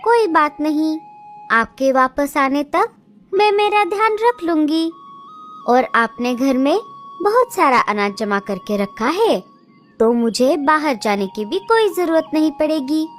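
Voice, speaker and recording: A woman's voice: sits high, sing-song, measured pace, soft background music. A woman voicing a young, expectant female sparrow who pleads anxiously with her husband in a cartoon. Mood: pleading.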